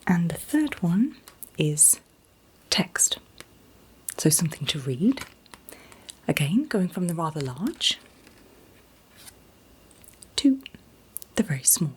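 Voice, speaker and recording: Woman whispering very slowly, breathy ASMR. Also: on the low side, sing-song. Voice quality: breathy, mostly unvoiced whisper. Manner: gentle, soothing, reassuring, unhurried. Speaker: ASMR performer playing an optician. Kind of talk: whispered ASMR eye exam roleplay.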